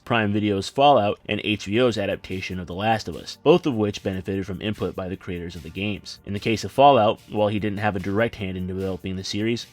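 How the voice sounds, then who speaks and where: A man narrating a scripted film video essay as its host, with wry, sardonic asides. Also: lowish voice. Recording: music behind. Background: background music.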